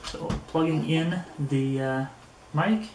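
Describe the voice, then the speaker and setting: A man giving a casual, unscripted product demonstration, thinking aloud and haltingly as he handles things. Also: on the high side, darkish tone. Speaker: product reviewer. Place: indoor room. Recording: echoey room, faint background noise.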